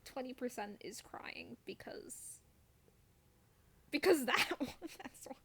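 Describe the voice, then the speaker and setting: A woman's voice: fairly high pitch, expressive, slow pace, creaky, fairly bright tone, slightly rough, in a whisper. A woman streamer chatting casually over gameplay in a playful, wryly self-deprecating way.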